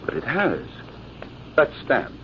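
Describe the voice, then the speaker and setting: An actor playing a proud, ardent military officer, formal and courtly, in an old-time radio drama. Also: male voice, mid-range voice, wildly swooping pitch, takes it slowly. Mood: tender, intense. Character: proud, ardent cavalry-style officer and devoted suitor. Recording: dull, noisy vintage radio recording.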